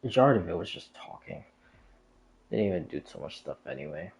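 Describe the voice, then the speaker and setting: A man's voice: low voice, lively intonation, brisk, gravelly. A man livestreaming games, chatting casually in a hesitant, meandering, repetitive way. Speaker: game livestreamer. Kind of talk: casual rambling stream chat. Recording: dull, muffled lo-fi stream audio.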